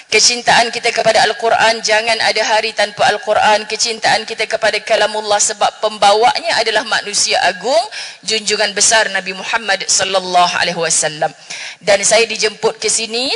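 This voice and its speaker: A woman's voice: medium pitch, fairly bright tone. A middle-aged woman with a northern Malaysian Malay accent, giving a chatty religious forum talk and joking with the audience. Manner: chatty, jokes with the audience, Arabic phrases. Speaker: religious speaker. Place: mosque hall. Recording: long hall reverb.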